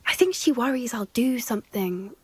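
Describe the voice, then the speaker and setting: An actress playing an earnest young witch student who cares for creatures and talks quickly and confidingly. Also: on the high side, lively intonation, slightly rough, dry recording. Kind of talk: video game voice acting.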